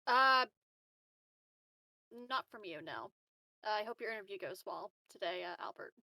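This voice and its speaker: A woman's voice: mid-range voice, expressive, a little slow, sharp tone, dry recording, low-quality recording. A woman casually improvising in character as a tabletop game player, sounding hesitant and a little awkward.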